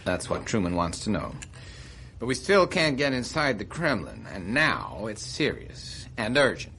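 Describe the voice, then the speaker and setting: A man's voice: lowish voice, very animated, a little slow, pushed and bright, slight background noise, full character voice. An actor playing an earnest, somewhat hesitant male intelligence officer in a naturalistic radio drama. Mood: worried. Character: Richard Helms, earnest male CIA officer seeking advice.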